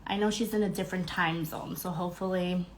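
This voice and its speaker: A woman's voice: fairly low pitch, steady tone, a little fast, slight background noise, rough recording. A young woman hosting a live podcast stream, congested and a little nasal, bubbly and greeting viewers by name between fillers. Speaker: podcast host. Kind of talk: casual live-stream chat.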